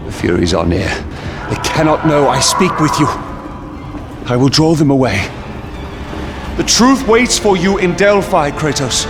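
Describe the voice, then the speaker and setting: Solemn, swooping urgent messenger. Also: male voice, on the high side, sing-song, unhurried. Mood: urgent warning. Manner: dramatic, emphatic. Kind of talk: dramatic video game acting. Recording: loud music behind.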